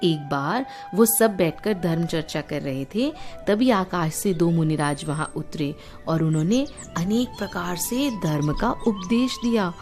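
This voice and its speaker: An Indian woman narrates a moral tale for children, her pitch swinging in a lively way. She takes her time and explains things simply as she goes. Music plays clearly behind her voice. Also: measured pace. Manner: explanatory, asks the listeners questions and glosses terms. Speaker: storyteller for children. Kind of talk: animated moral storytelling for children.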